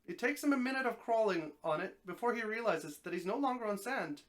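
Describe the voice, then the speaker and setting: A mid-range voice reads a story aloud in a live, dramatic style. The narration is expressive, and the reader now and then trips over a phrase and takes it again. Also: medium pitch, a little fast. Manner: expressive narration, occasional stumbles and repeated phrases. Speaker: streamer reading a story aloud.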